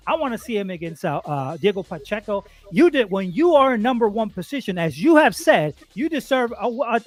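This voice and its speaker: A man in his forties arguing boxing, insistent, repeating his points and stumbling over words. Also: extremely high pitch. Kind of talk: heated boxing argument.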